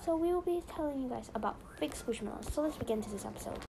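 A woman's voice: high-pitched, lively intonation, fast, vocal fry, mellow, slight background noise. A young woman hosting a casual podcast, chatty and rambling with lots of "so yeah". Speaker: podcast host.

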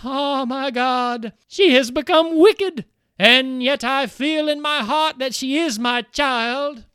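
A man's voice: very high voice, takes it slowly, sharp tone, smooth, no room sound, clean studio sound, voice pushed up, heavily acted. A man doing a grieving old fisherwoman. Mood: anguished. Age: older. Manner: anguished, half to herself.

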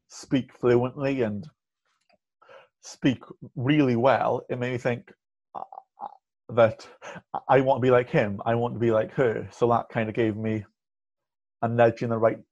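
A man's voice: mid-range voice, darkish tone. Man speaking with a stammer. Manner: stammers with blocks and repeated sounds. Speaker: podcast guest. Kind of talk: candid conversational interview. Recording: muffled lo-fi audio.

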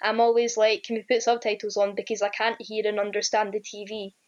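A young woman with a strong Ayrshire Scottish accent speaks in an even, flat tone. She answers with hesitations and restarts, in the manner of a student teacher talking through her experience. The sound is dull and lo-fi, like audio from a call. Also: medium pitch, a little fast. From Scotland. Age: young adult. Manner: hesitant, many ums and restarts. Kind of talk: casual interview answers.

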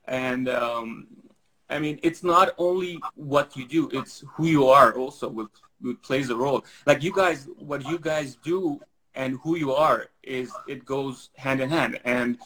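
A man's voice: mid-range voice, unhurried, fairly bright tone, poor audio. Rambling Syrian-raised man. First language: Armenian. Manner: rambling, many fillers and restarts. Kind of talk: casual interview answers.

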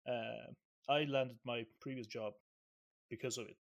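A man's voice: medium pitch, fairly even intonation, measured pace, gravelly. A man from Syria co-hosting a casual podcast, rambling with many restarts and laughing easily. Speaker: podcast co-host.

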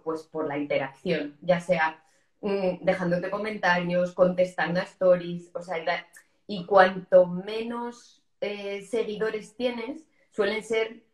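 A woman from hosting a casual live interview, talking in an informal, explanatory way. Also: mid-range voice. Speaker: host of a live interview show. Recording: echoey room sound.

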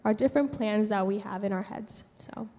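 A young woman from San Juan tells a personal story to a gathering, haltingly, pausing mid-sentence. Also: medium pitch, warm tone. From San Juan, Puerto Rico. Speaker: speaker giving a personal testimony. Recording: long hall reverb.

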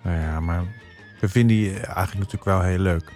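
A man with a Netherlandic Dutch accent chatting as a podcast panelist, in halting, hesitant phrases. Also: sits low, darkish tone, faint music behind. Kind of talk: casual chat.

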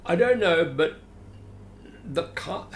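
A man in his late seventies with an Australian accent, telling stories about his acting career in an animated, theatrical, chuckling way, recorded at home. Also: high voice, slow. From Adelaide, Australia. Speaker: guest, veteran actor. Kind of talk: storytelling interview. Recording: roomy echo, faint hiss.

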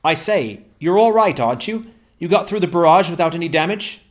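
An eager scholar, cheerful and full of longing, as a male reader plays him. The voice sits high and lively, warm and enthusiastic. He speaks like an audiobook character. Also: a little fast, lightly acted. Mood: cheerful, urgent.

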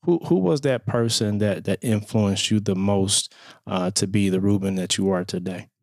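A man's voice: lowish voice, moderate pace, darkish tone, no room sound, clean studio sound. A man hosting a casual podcast interview, upbeat and easygoing, often saying "man" and "you know". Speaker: podcast host.

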